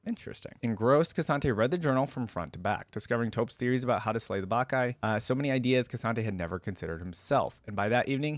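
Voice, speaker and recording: A man's voice: medium pitch, no echo. A man reading a story aloud and breaking off for casual, rambling commentary full of fillers as a reaction video creator. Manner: casual, filler-heavy commentary between read passages.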